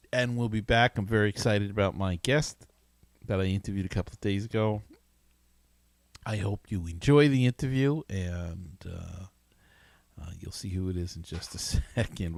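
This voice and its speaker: A man hosting a sports podcast, talking casually in a halting, conversational way. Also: on the low side, no echo. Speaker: podcast host.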